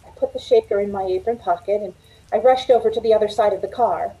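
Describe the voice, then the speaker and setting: A woman's voice: medium pitch, fast talker, warm tone, highs cut off, close to an everyday voice. A middle-aged woman playing an anxious, hesitant waitress in a 1940s-style radio drama, earnest and pleading. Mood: uneasy recounting. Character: anxious young waitress telling her frightening story. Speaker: actor in a radio play. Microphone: video call from a home room. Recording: faint noise on home video call.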